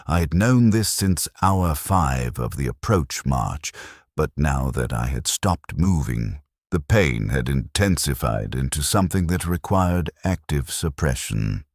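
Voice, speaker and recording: A man reading a scripted first-person story in a measured, sombre, detached way. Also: a bass voice, a little mellow, dry recording, clean studio sound. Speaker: narrator.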